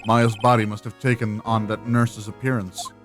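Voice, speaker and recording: Woman as young male defense attorney, lowered voice, measured, wry, protective, video game narration. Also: level tone, soft background music. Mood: measured, probing.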